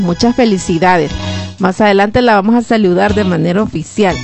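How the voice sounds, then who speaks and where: A woman's voice: mid-range voice, darkish tone. A woman with a Salvadoran Spanish accent hosting a live radio show, chatting and greeting listeners. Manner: friendly, chatty, reads listener messages aloud. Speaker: radio host. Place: radio studio.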